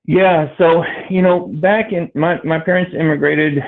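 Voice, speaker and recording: A middle-aged dairy farmer, born in Brazil, talking on a livestream panel in a halting way with many fillers and restarts. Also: male voice, a little high, steady tone. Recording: tinny phone-like video call audio.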